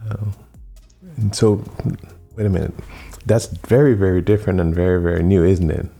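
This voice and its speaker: A man hosting a talk show interview, speaking slowly and emphatically and repeating his phrases for effect. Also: low voice, steady tone, vocal fry, mellow, soft background music. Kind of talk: radio-style interview.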